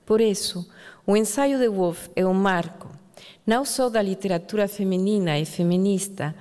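A woman from Mexico reads a prepared talk in a measured, deliberate way, with a Mexican Spanish accent from her native Spanish. Her voice sits at an ordinary middle pitch. A long hall reverb surrounds her words. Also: warm tone. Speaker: writer and anthropologist.

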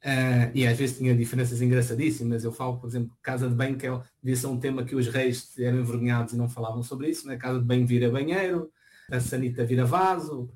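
A man's voice: medium pitch, fairly even intonation, quick pace, a little mellow. Portuguese man, hesitant interview talk. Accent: European Portuguese. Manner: hesitant, many restarts and fillers. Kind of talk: relaxed interview conversation.

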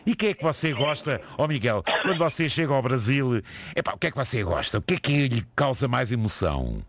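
A man's voice: mid-range voice, very animated, fairly quick. A man with a European Portuguese accent hosting a chatty interview in an effusive, colloquial way full of interjections. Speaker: radio host.

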